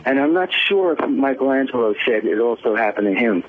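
A man's voice: mid-range voice, animated, a little fast. A man phoning in to a radio show, chatting casually and gossipy over the telephone. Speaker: radio phone-in caller. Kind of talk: casual gossipy call-in talk. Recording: muffled noisy phone-in line.

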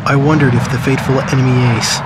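An actor playing a wistful man recalling his wartime youth, in measured, reflective, sombre scripted narration for a video game. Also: medium pitch, little pitch movement, heavy background noise, natural voice. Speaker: story narrator. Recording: loud music under voice. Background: music.

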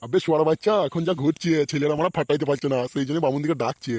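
A man's voice: a little high, steady tone, rapid-fire, darkish tone, no echo, natural voice. A man voicing a bossy, blustering village man in a comedy cartoon, with crude speech. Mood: irritated. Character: bossy, foul-mouthed village man at a wedding. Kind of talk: amateur comedy cartoon voice acting.

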